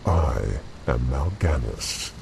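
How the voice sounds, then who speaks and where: A man voicing an arrogant, menacing demon lord in a video game cutscene, gravelly and taunting in a grandiose, sinister way. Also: rumbling low, lively intonation, takes it slowly, noisy background, heavily acted. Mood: menacing, gloating. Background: loud music.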